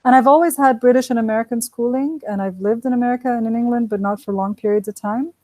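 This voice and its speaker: A woman with a mellow, warm voice hosts an online panel from a dry, close-sounding setup. She talks in a relaxed, chatty way with plenty of fillers, over a video call. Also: a little high, fast, dry recording. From Kuwait. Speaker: host, associate professor.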